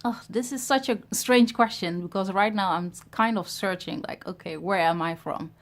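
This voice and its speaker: A woman from Ghana in her thirties who grew up in Europe, talking to camera in a reflective, hesitant way about starting a business. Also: medium pitch. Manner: reflective, hesitant, many pauses and fillers. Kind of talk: personal vlog talk to camera.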